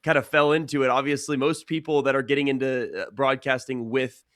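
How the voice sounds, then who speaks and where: A man's voice: medium pitch, fairly even intonation, brisk, a bit bright, dry recording, studio quality. A young man, a sports broadcaster, giving earnest, conversational answers as a guest in a casual interview. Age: young adult.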